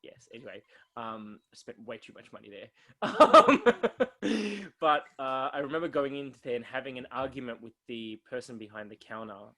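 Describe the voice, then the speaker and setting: A man's voice: medium pitch, moderate pace, sharp tone. An Australian man co-hosting a podcast, chatting casually and self-mockingly, drifting off on tangents. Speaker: podcast co-host. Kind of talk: casual chat.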